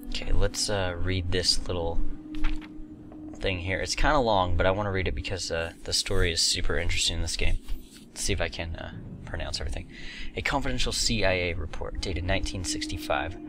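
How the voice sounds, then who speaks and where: A man's voice: low-pitched, fairly quick. A man giving casual, informal gameplay commentary, explaining things to viewers as he goes. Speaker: video game commentator. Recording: music behind the voice. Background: game music.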